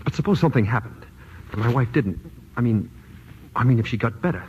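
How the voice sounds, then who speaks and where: An actor playing a brash, hot-tempered racketeer, curt and bullying, in a 1940s-style radio drama. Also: male voice, medium pitch, animated, brisk, darkish tone, a little hiss or hum, natural voice. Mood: uneasy, bitter. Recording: dull old radio recording.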